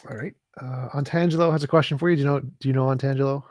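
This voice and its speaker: A man in a casual podcast conversation who explains his views in a relaxed way and reads out viewer questions. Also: medium pitch, rapid-fire, dry recording, clean studio sound. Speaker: podcast guest reading chat questions.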